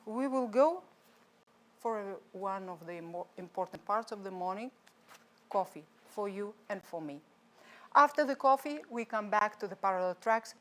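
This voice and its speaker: A woman's voice: medium pitch, fairly bright tone. A woman from Lithuania with a Lithuanian accent, a non-native English speaker hosting a live-streamed conference from a studio in a chatty way, with some restarts. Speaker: conference host.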